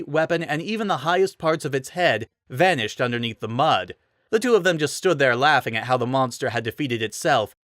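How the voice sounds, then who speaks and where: A man's voice: medium pitch, a bit bright, no echo, clean studio sound. A man giving a dramatic reading of a fantasy story as narrator.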